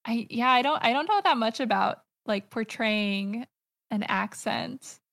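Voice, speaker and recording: An American woman talks casually as a podcast guest. She stops and restarts her sentences a lot, and her voice is gravelly and bright over a dry, close mic. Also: on the high side, unhurried, dry recording. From United States. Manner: hesitant, many fillers and restarts. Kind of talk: casual chat.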